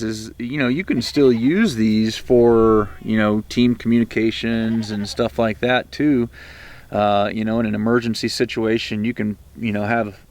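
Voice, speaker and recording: A man's voice: lowish voice, vocal fry. A man with an American accent explaining gear casually to viewers in a rambling, hesitant, folksy way, as the host of a video. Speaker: video host, prepper reviewer. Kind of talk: casual explanatory product talk to camera.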